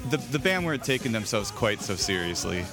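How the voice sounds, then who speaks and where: A man's voice: fairly low pitch, big pitch swings, brisk, over music. A man hosting a podcast in casual, jokey conversation over an internet call, prone to chuckling. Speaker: podcast host. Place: remote Skype call.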